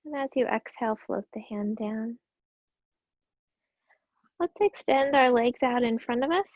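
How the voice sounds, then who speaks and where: Yoga teacher, female, high-pitched and raspy, gentle breath cues, dry and dull lo-fi sound. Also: no room sound. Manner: calm, gentle cueing with breath. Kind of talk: guided yoga class instruction.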